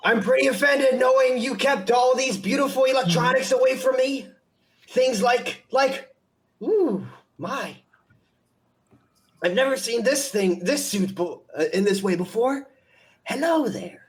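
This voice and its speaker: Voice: medium pitch, lively intonation, a little slow, lightly acted. An amateur performer voicing a gloating, theatrical cartoon supervillain obsessed with electricity, in a live comic dub. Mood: gloating, enamored. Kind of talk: hammy comic dub acting.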